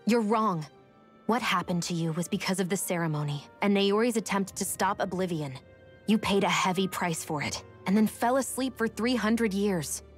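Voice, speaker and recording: A woman's voice: medium pitch, moderate pace, soft background music, lightly acted. A woman playing a guarded, vulnerable young cursed maiden in a fantasy video game cutscene. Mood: tender, wistful.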